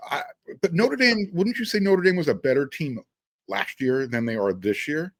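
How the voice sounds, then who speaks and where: A man's voice: fairly high pitch, animated, normal speed, no echo. Dry, close-sounding male sports host. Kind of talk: casual sports talk, questioning a guest.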